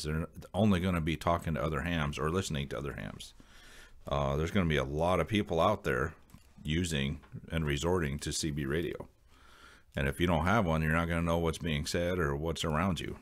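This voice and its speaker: Very deep-voiced man, casual vlogger. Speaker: video host. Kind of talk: casual explainer vlog, talking to viewers.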